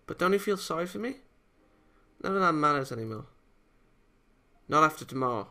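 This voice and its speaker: Man reading as a bitter, lonely schoolgirl with creak. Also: on the high side, lively intonation, a little fast, pushed and bright. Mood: menacing. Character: lonely, bitter, menacing schoolgirl in an anime visual novel. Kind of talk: dramatic read-aloud of game dialogue.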